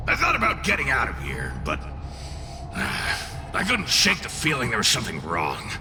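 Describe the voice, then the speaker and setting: A man's voice: medium pitch, huge pitch swings, moderate pace, over music. A man playing a brash, sarcastic fiery tail spirit in a video game, with a theatrical, sneering, grumbling manner. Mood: grumbling, fond.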